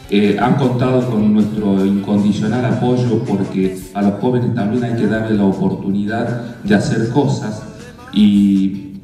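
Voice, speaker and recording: An Argentine man in his fifties gives an opening speech with a mellow, mid-pitched voice. It booms with heavy echo from far off in a hall, with music behind. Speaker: mayor giving opening speech. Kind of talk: public opening address. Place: stage of a community hall. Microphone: handheld microphone, heard from afar. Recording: very echoey hall PA, distant, music.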